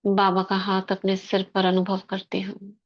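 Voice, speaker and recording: A woman guiding a spiritual meditation in slow, soft short phrases with long pauses. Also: medium pitch, completely flat delivery, dull audio. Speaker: meditation guide. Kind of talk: guided meditation commentary.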